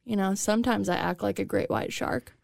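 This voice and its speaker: Casual podcast co-host, female, flat-ish delivery, dry studio mic. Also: mid-range voice, fairly quick, vocal fry, no room sound. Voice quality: monotone. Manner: chatty, acts out quotes. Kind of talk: casual chat. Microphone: studio podcast microphone.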